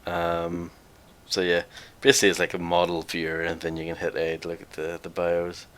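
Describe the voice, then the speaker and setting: A man's voice: low-pitched, monotone, normal speed, a bit bright. A man hosting a gameplay video, talking casually as he plays. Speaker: video host playing a game.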